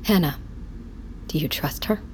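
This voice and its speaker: Slightly low in pitch, a guarded woman survivor. She sounds suspicious, in audio drama style, over faint ambient noise. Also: a little slow, a little mellow. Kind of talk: audio fiction drama acting.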